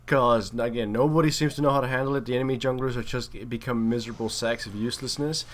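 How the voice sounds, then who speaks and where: A man's voice: mid-range voice, steady tone, fast. A man giving casual, chatty commentary on a video game replay as one of the players.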